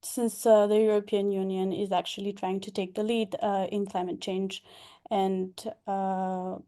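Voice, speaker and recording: A woman's voice: mid-range voice, level tone, measured pace, dark tone. A young woman, speaking hesitantly with many fillers about her experience over a video call. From Bulgaria. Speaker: past delegate and graduate scholar. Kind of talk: online information session talk.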